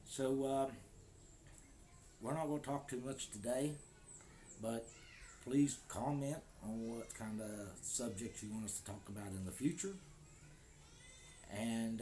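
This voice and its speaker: An American man talks casually to the camera at an average pitch. He is easygoing and joking as he chats about everyday life, with faint noise behind him. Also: dark tone. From United States. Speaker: vlogger. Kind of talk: casual vlog talk to camera. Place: home in the Philippines.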